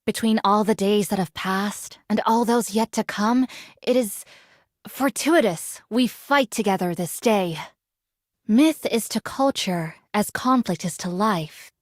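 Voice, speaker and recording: An actress playing a solemn, dutiful ancient warrior woman in a video game, speaking in formal, measured, archaic phrases. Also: medium pitch, no room sound, clean studio sound, close to an everyday voice. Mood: grave, formal. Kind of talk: video game character voice lines.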